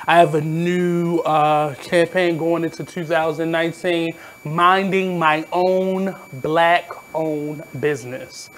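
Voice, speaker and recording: A man in his thirties from Baltimore with a naturally high voice, hosting his radio show in a candid, blunt, reflective monologue. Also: fairly even intonation, takes it slowly, hardly any pauses, a bit bright, slight background noise. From Baltimore, USA. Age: 30s. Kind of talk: casual monologue.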